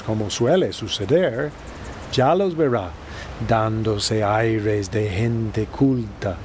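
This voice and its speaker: An actor playing a smug, corrupt, well-connected boss in a radio drama, measured and condescending. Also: male voice, medium pitch, a little mellow, slight background noise. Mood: disdainful.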